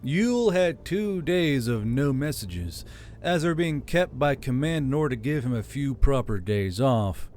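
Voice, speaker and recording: A man reading a fantasy novel chapter aloud with a steady, expressive delivery. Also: mid-range voice, a little slow, soft background music. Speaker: audiobook narrator. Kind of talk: storybook reading.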